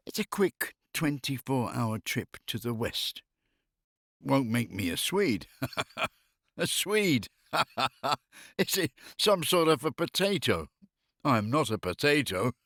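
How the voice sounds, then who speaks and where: A man's voice: mid-range voice, a bit bright, no room sound, studio quality. A middle-aged or older man chatting casually and humorously, telling anecdotes in a wry way and often amused by his own jokes. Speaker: expatriate living in Finland.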